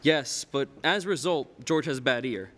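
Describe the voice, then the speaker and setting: A man's voice: medium pitch, moderate pace, a bit bright, natural voice. A man playing the storyteller, narrating a scripted stage radio play. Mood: explanatory. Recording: reverberant hall stage recording.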